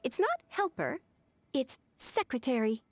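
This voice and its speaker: A woman's voice: high-pitched, very animated, measured pace, no echo, heavily acted. A woman playing a polished, officious young secretary in a video game, with a formal, businesslike manner. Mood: composed, correcting.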